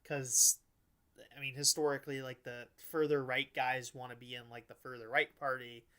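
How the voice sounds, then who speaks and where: Male podcast host, mid-range voice, loose and halting delivery, thinks aloud in informal political chat. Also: mid-range voice, level tone, fairly bright tone, clean tone. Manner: rambling, halting, frequent "like", casual swearing. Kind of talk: casual political discussion.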